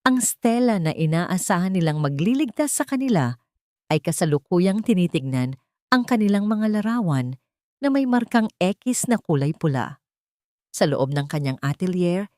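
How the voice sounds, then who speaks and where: A woman narrates a dramatic serial in a suspenseful storytelling manner. Her voice sits slightly low, and the recording is dry, with almost no room around it. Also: big pitch swings, moderate pace, mellow, no echo.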